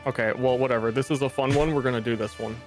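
A man's voice: medium pitch, fairly even intonation, quick pace. A man hosting a casual gaming stream, laughing and playfully complaining about his chat. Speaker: streamer host. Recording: game music behind voice.

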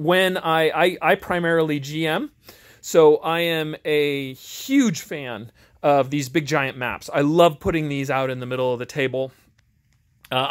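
A man's voice: on the high side, fairly bright tone, smooth. Middle-aged American man, casual and rambling. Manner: rambling, self-deprecating. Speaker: host, tabletop game reviewer. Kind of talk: unscripted casual review chat. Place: home game garage.

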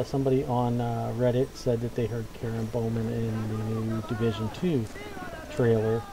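A man chats casually over gameplay. His voice is extremely dark and mellow, at a medium pitch, and he rambles with hesitations. Faint game ambience sits behind him. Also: slight background noise, rough recording. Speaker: gaming video commentator.

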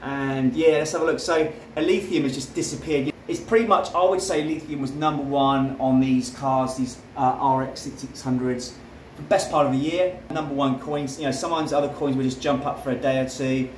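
A man's voice: medium pitch, fairly quick. An Australian man giving a casual, chatty walkthrough of his home mining setup, with plenty of fillers and restarts. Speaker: crypto mining channel host. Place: home room full of mining rigs, indoors. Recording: echoey room with faint fan hum.

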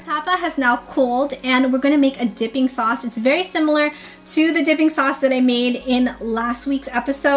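A young woman with a high, bright-toned voice walks her viewers through a recipe in her home kitchen. She talks in an upbeat, instructive way, calling the audience "you guys". Faint music plays quietly beneath her. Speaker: cooking vlogger. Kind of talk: cooking tutorial narration.

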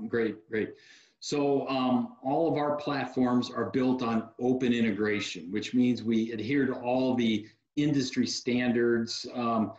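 A man's voice: medium pitch, dull audio. Man explaining tech over an echoey video call. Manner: relaxed, practical, sales-savvy explainer, many uh fillers. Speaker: security technology company guest. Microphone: video call webcam mic.

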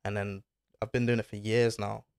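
A man's voice: lowish voice, little pitch movement, moderate pace, vocal fry, a little mellow, clear, resonant tone, dry recording, clean studio sound. A young man, talking casually as a podcast guest, laid-back and full of slang. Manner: laid-back slang-filled talk, says bro and innit. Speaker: guest footballer. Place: small studio with foam panels. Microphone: desk mic on arm.

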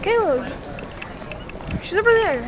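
A young teenage girl, about thirteen, chatting casually and excitably as a vlogger into a handheld camera. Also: extremely high pitch, slow, a crowd in the background. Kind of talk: casual vlog chat. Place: busy airport terminal, later a car. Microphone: handheld camera at arm's length. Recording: noisy handheld camera audio, bustling airport.

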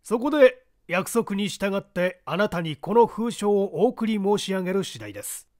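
Male reader as solemn, polite letter writer in mystery audiobook: high voice, highly animated emphasis. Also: normal speed. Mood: earnest, formal.

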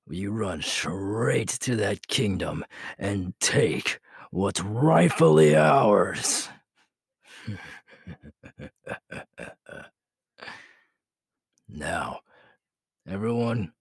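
A man playing a ruthless, menacing young werewolf alpha in an ASMR audio roleplay, gravelly and breathy, slow and boastful, often dropping to a whisper. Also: on the low side, no room sound, clean studio sound, heavily acted. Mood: commanding.

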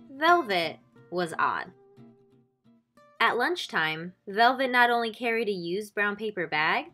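A woman reading a children's picture book aloud expressively, drawing out key words with deliberate emphasis. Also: on the high side, sharp tone. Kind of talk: expressive storybook read-aloud.

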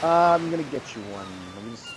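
A young man running a game server in casual chat, his voice swinging widely in pitch and sitting a little high. Loud game sounds run behind him. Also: racing. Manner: animated, swearing, laughing. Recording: noisy game audio.

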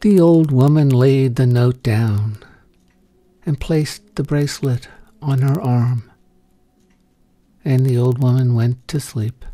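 A man reading a short story as scripted narration, in a gentle, measured storytelling manner. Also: mid-range voice, no room sound. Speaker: story reader.

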